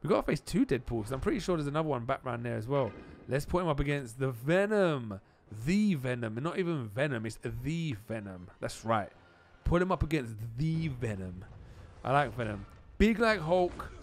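A man giving casual, excitable gameplay commentary as a video host, often repeating phrases for emphasis. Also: medium pitch, normal speed.

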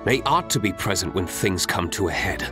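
Young game nobleman, reserved, low voice, formal and composed, music underneath. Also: animated. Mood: composed, serious. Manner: composed, formal, measured delivery. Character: reserved, brooding young nobleman, calm and self-assured. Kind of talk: video game character dialogue. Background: background music.

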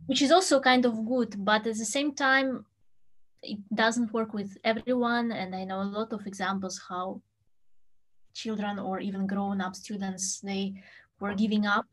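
A woman in her thirties from Russia, a native Tatar speaker, talking through an interview in English that is not her first language, thoughtful and quick to laugh, recorded from home on a webcam. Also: mid-range voice, fairly even intonation. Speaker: pianist. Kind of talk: interview answers. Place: home room with a piano, indoors.

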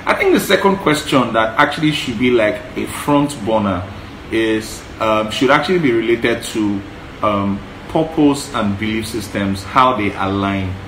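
A man's voice: lowish voice, measured pace, fairly bright tone. A man in his thirties with a Yoruba accent, co-hosting a casual advice chat, talking earnestly and emphatically and repeating points. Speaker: co-host. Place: living room, indoors. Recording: echoey room, faint hiss.

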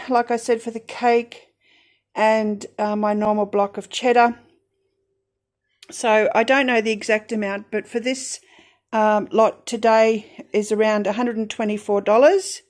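A middle-aged Australian woman talks through her groceries at an unhurried, slow pace. Her voice sits a little high, and she pauses often with ums. She sounds calm and steady. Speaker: budget grocery vlogger. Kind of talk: casual voice-over narration.

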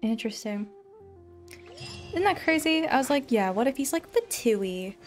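A woman's voice: fairly high pitch, lively intonation, normal speed. A young adult woman giving casual playthrough commentary as a game streamer. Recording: faint game music behind the voice. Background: video game music.

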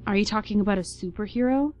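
A woman's voice: medium pitch, fast, a little mellow, soft background music, lightly acted. An actress playing a concerned, bewildered young mother in a radio play. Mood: incredulous. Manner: incredulous, concerned. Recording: slightly dull, lo-fi sound.

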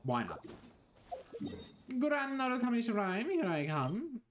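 A man's voice: very high-pitched, wildly swooping pitch, slow pace, slight background noise. A young man commenting casually on his game, swearing and joking as he plays. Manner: profane, joking, mumbles to himself. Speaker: streamer. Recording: game music underneath.

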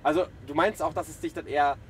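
A man's voice: a little high, fairly even intonation, quick pace. A man hosting a livestream from behind the wheel, chatting casually and teasing his guests. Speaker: host driving guests in a livestream. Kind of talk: casual joking chat. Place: inside a van driving through a city. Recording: noisy moving vehicle, road noise.

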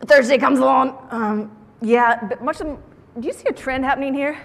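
A woman giving a motivational keynote talk to an audience on stage, with an animated, theatrical delivery and playful emphasis. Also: high-pitched, normal speed. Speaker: keynote speaker. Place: large indoor conference room, on stage. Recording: echoey conference hall sound.

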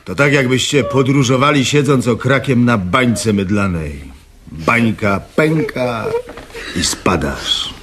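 Timid wistful partner, radio comedy, musing, mid-pitched male voice, noisy background. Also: lively intonation, takes it slowly. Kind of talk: radio comedy drama acting.

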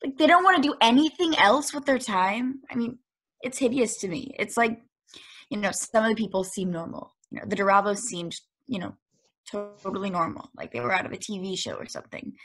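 Uneasy young woman, read by an actress, husky, halting, video call. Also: medium pitch, expressive, fairly bright tone. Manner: hesitant, full of fillers.

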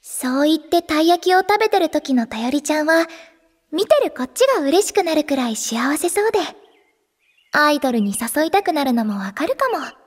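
Very high, sweet teenage idol girl. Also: brisk, long reverb tail. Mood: fond, playful. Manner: sweet, earnest, expressive delivery. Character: cheerful, earnest teenage girl idol in training. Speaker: narrator and lead character. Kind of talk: poetic Japanese anime audio drama narration. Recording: music behind the voice.

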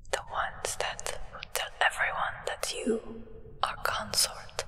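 A young woman playing a solemn, devoted vampire acolyte in a hushed, reverent ASMR roleplay whisper. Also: long reverb tail. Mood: calm, instructing. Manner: hushed, slow, reverent, deliberate. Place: indoors, small draped set.

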